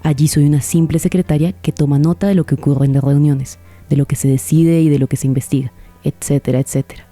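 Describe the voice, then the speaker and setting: Casting: actress as an earnest woman, government secretary, very mellow tone, recounting her story over music. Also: low-pitched, steady tone, quick pace, no echo. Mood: measured, confessional. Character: earnest female government secretary giving testimony. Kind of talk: scripted first-person account in audio drama.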